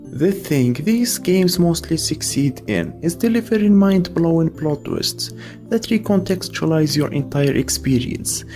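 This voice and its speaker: A man with a fairly high, creaky voice narrates a scripted video essay. He slips in casual asides as host, with faint music underneath. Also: animated, measured pace, a little mellow.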